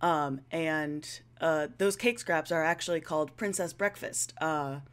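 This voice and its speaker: A woman's voice: deep voice, a little slow, clean tone. A young woman giving casual, rambling commentary as a video creator, playful and self-deprecating.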